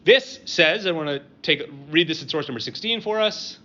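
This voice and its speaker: A middle-aged man lecturing to a live audience, conversational and halting, with lots of "um" and "right?" checks. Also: a little high, lively intonation, sharp tone. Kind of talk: university-style lecture with audience questions. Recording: dull lo-fi room recording with faint ambience.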